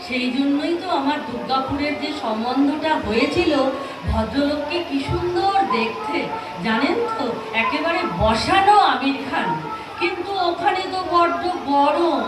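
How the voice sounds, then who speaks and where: A woman acting a naive, chatty young bride in a comedy audio play, speaking Kolkata Bengali in an unhurried, guileless way. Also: high voice, lively intonation, over music, crowd noise. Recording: very echoey, distant, clearly noisy.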